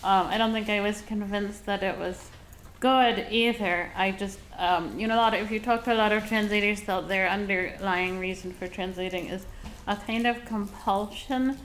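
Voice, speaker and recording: A woman's voice: medium pitch, steady tone, normal speed, a bit bright, rough recording. Woman on a panel talking about translating, easygoing and thoughtful, bit of background hiss. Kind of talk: panel discussion.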